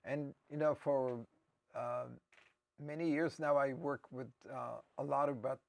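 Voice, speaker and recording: A man's voice: mid-range voice, gravelly. A man with an Israeli accent, a native Hebrew speaker, telling his personal story as an interview guest in a hesitant, reflective way. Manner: hesitant and reflective, with frequent restarts.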